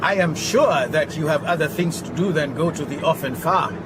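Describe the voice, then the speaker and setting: Actor as put-upon Southern African mechanic, lightish voice. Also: male voice, fairly high pitch, normal speed, close to an everyday voice. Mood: resigned, amiable. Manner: polite, formal, gently complaining. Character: kindly, put-upon Botswanan mechanic, mildly resigned. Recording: clear outdoor vehicle noise. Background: car and outdoor ambience.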